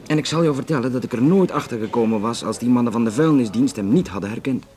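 An actor playing a courteous, flirtatious Flemish police detective in a naturalistic radio drama, speaking hesitantly with dry humour. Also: male voice, mid-range voice, quick pace, dark tone, natural voice. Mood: matter-of-fact, grim. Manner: hesitant, dryly joking, conversational.

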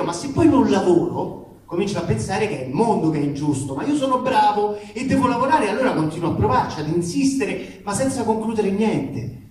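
In a very echoey space, picked up from a distance, a man reads a letter aloud as comic theatre. His voice sits a little high for a man. The delivery is emphatic and ironic, full of grievance and colloquial swearing. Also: warm tone. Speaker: actor reading aloud. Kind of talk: comic theatrical reading of a letter to a live audience. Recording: echoey room, distant mic, faint noise.

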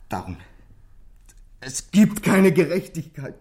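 Husky, troubled teenage boy. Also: fairly high pitch, big pitch swings, darkish tone, full character voice. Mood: defiant. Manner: intense, halting, emotional confession. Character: troubled teenage boy, bitter, frightened, desperate. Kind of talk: German radio crime drama acting.

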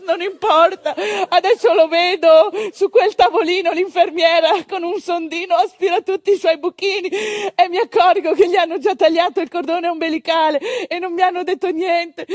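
A woman's voice: extremely high pitch, no room sound, clean studio sound, voice pushed up. A woman performing a frantic, foul-mouthed, comically defiant young mother in labour, in a stage monologue. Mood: elated, shouting. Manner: shouting, breathless comic exaggeration.

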